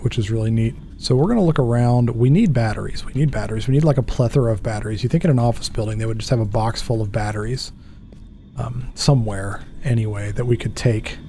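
A man in his thirties with a headset, chatting and joking to himself through a horror game, mocking his own mistakes and jumping up in pitch when something startles him. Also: mellow, a little hiss or hum. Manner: chatty, self-mocking, jumpy pitch swings. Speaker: gaming channel host. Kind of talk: horror game let's play commentary. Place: small dark room at a desk. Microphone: headset with desk mic.

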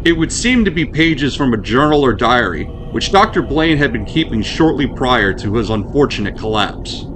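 A man reading a horror story aloud as host and narrator, in a measured, formal way. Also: medium pitch, very animated. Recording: clearly noisy background.

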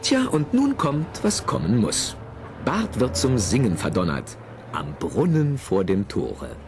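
A man's voice: medium pitch, wildly swooping pitch, measured pace, noisy background. A man narrating a scripted children's audio play theatrically, with conversational asides to the listeners.

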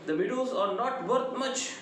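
A middle-aged man with an Indian accent giving a literature lecture, deliberate and emphatic, phrase by phrase. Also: very high voice, fairly bright tone. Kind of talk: lecture summarizing a play. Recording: very echoey room, faint background noise.

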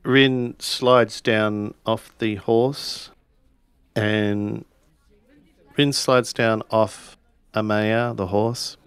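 A man's voice: on the low side, fairly even intonation, drawn-out words, clean tone, no room sound. A man playing a tabletop roleplaying game, narrating his character's actions in the third person.

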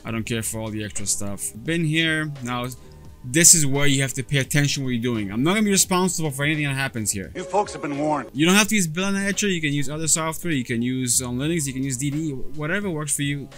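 A relaxed man with a Polish background explaining steps in a workshop. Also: on the high side, quick pace, faint music behind. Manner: relaxed, rambling, with frequent fillers and restarts. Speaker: data recovery technician. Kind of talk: casual screen-recorded tutorial. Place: cluttered workshop or lab, indoors. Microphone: clip-on lapel mic.

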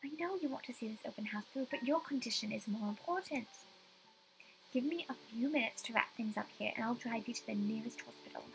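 Woman acting a hushed, concerned saleswoman. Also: on the high side, fairly quick, creaky, a little hiss or hum, rough recording. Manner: hushed, firm, reasoning. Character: composed, concerned young saleswoman colleague, Rose.

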